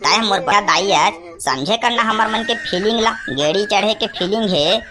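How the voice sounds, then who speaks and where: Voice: mid-range voice, normal speed, background noise, close to an everyday voice. An actor playing a cheeky, eager village boy in a cartoon comedy, impatient and argumentative, with a Chhattisgarhi accent. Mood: pleading.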